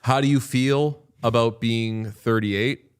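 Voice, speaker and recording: A man's voice: medium pitch, fairly even intonation, slow, clear, resonant tone. A man in his late thirties, co-hosting a casual sports watchalong, telling rambling stories with a dry, wry humor and some swearing. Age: 30s. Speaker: podcast co-host.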